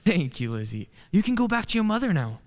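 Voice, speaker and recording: An amateur actor playing an earnest, hesitant defence lawyer in a radio play, courteous and halting. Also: mid-range voice, big pitch swings, a little mellow, close to an everyday voice. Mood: gentle questioning.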